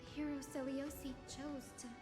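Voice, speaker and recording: A woman voicing an earnest, gentle young heroine in a video game, speaking politely and formally with resolve. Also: high-pitched, flat delivery, moderate pace, natural voice. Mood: resolute. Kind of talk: dramatic video game voice acting. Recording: echoing game audio with music.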